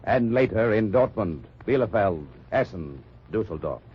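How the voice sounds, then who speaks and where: A man announcing a 1950s radio drama in a dramatic, husky voice. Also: lowish voice, lively intonation, unhurried. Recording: muffled old radio recording.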